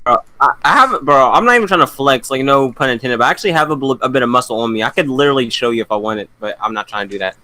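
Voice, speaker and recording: A man's voice: medium pitch, lively intonation, racing, few pauses, sharp tone. A man in casual stream chat, bantering in a defensive, boastful way. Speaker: stream guest.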